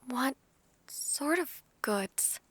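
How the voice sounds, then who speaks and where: A woman playing an ailing, earnest young dragon-blooded noblewoman in a fantasy audio drama, speaking formally and haltingly. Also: sits high, expressive, a bit bright, dry recording, full character voice. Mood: earnest, curious.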